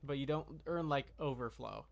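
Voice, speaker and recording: A man giving casual, deadpan joking live commentary while playing a video game. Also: mid-range voice, moderate pace. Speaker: game streamer. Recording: clear background noise.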